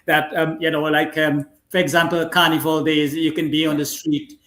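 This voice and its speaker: A man from Trinidad hosts a talk show, chatting along in a halting way full of fillers. His voice sits a little high, and the room around him sounds echoey. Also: flat delivery, a bit bright. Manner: conversational, halting, with many fillers. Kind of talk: casual talk show conversation.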